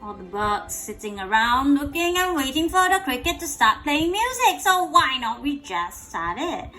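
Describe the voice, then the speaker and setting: A woman reading a picture book aloud to a child in an animated way, pausing to ask questions and repeating phrases. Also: high voice, soft background music. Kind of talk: interactive bedtime read-aloud.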